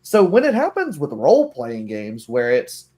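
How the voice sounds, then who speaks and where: A man of average pitch talks casually and with strong opinions. He feels his way haltingly through an argument, leaning on hypotheticals and imagined exchanges to make the point. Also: huge pitch swings, dark tone. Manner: halting, argues through hypotheticals and mimicked dialogue.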